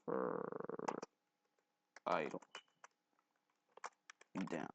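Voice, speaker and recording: A young man giving a casual software tutorial, thinking aloud as he rambles along. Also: very deep voice, big pitch swings, extremely slow, muffled recording. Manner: rambling, thinking aloud, frequent throat clearing. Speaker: tutorial host. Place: indoors at a computer, voice-over for screen recording.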